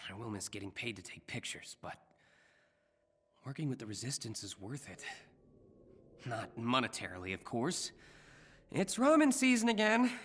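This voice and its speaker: A man's voice: medium pitch, sharp tone, heavily acted. A man voicing an earnest young superhero in a video game, with an American accent and a hesitant, self-deprecating manner. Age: young adult. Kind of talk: naturalistic video game voice acting.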